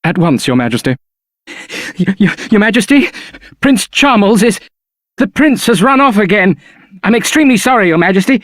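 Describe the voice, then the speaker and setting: A jittery, servile palace attendant from a video game. His voice sits high, and he stammers apologetically as he grovels. The recording is dry. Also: sing-song, normal speed, no room sound. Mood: panicked, apologetic. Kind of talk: video game character acting.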